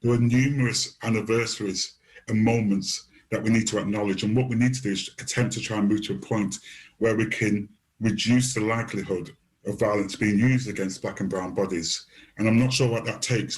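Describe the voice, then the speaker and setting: A man's voice: fairly low pitch, fairly even intonation, fairly quick, slight background noise, poor audio. A man, a university lecturer, giving a considered and articulate academic interview comment. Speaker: criminology lecturer.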